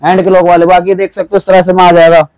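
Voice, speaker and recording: A young man running a small gift shop, briskly listing items and prices to the camera. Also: high voice, flat delivery, a little mellow. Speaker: wholesale shopkeeper. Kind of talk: sales pitch to camera.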